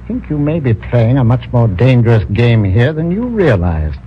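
A wary army colonel gives earnest, measured warnings in a lively, rising-and-falling voice, played by a man. The old radio recording is muffled with faint hiss. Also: mid-range voice, lightly acted. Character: older male colonial army colonel, cautious. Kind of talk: 1950s radio drama acting.

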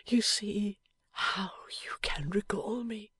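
In an audiobook, a woman reads a gravely wounded young husband in a slow, breathy whisper, his voice weak and halting. He is tender and affectionate, the sound is dry and close, and it sits a little higher than the reader's own voice. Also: fairly bright tone, dry recording, heavily acted. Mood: faint, tender. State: weak from injury. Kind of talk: audiobook character voice.

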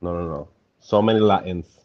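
A man from Argentina, a guest talking casually about his life, relaxed and trailing off softly at the ends of phrases. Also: deep voice, normal speed, dull audio. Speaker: interview guest. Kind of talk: casual conversation.

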